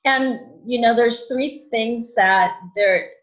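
A woman guest giving casual style advice in conversation, explaining things in a matter-of-fact way. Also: takes it slowly. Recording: dull, muffled low-fidelity audio.

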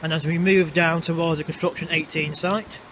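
A man's voice: on the high side, steady tone. A man giving chatty, explanatory walking-tour commentary, recorded outdoors on a handheld camera. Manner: chatty, explanatory, a bit halting. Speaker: vlogger. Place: outdoors in urban streets and underpass. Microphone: handheld camera mic. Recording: noisy outdoor street sound. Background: traffic and street ambience.

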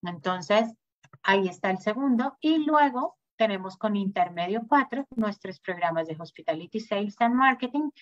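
Woman, upbeat presenter, clear and informative, dry slightly dull audio. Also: expressive, moderate pace, no echo. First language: Spanish. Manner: informative, upbeat sales pitch. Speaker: school sales manager presenting. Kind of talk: webinar presentation.

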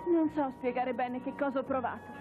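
A woman's voice: high voice, steady tone, brisk, natural voice. A voice actress playing a sincere, hesitant teenage girl in a cartoon dub. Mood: sincere resolve. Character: sincere, reluctant teenage girl judo prodigy. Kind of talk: cartoon dubbing. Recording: narrow phone-like dub with music behind.

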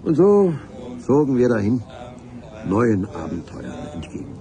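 Mellow, grandiloquent male narrator. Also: medium pitch, big pitch swings, measured pace. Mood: solemn, grand. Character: elderly-mannered ministerial official narrating adventures, solemn and pompous. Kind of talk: German radio play narration, mock-epic.

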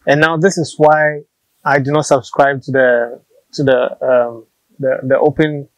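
A man's voice: medium pitch, flat delivery, measured pace, no room sound, lo-fi sound. A man in his thirties with a Ghanaian English accent, explaining his farm practice outdoors on a lapel mic, patient and practical, often repeating words. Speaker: snail farmer. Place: open-sided farm shelter outdoors.

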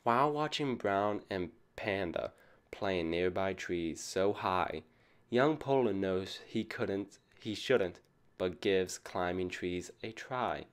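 A man's voice: sits low, takes it slowly. A man reading a picture book aloud to children, addressing them like a teacher. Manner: asks young listeners questions as a teacher would. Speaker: storybook reader for children.

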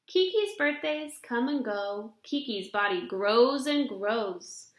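A young adult woman reading a children's picture book aloud in an expressive, deliberate storybook delivery, recorded in a room at home. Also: fairly high pitch. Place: small indoor room at home. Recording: roomy, echoing home webcam recording.

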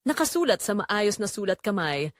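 A wistful young man voiced by a woman recalls a secret romance in an audio drama. The delivery is earnest and measured, with pitch that moves expressively. The recording is dry and close, with no room around the voice. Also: sing-song, dry recording, natural voice. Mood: wistful, intimate. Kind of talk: scripted first-person audio drama narration.

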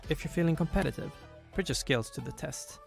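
A man's voice: a little high, fairly quick, vocal fry. A man presenting a video game as its designer in scripted promotional narration. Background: trailer music.

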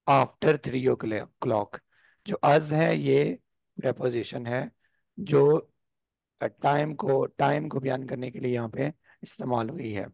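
Male teacher with a sore throat, gravelly and unhurried, on a dry video call. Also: mid-range voice, darkish tone, no room sound. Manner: slow explanatory, frequent throat clearing. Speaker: Persian teacher. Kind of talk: online class lesson.

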